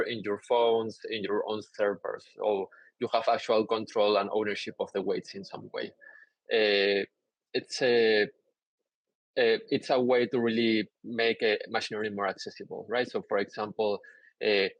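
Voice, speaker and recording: A young man from Peru giving a conference talk over slides on a video call, relaxed and explanatory with many "uh" fillers. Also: fairly low pitch, no echo, rough recording. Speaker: conference speaker. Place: indoor room, on a video call.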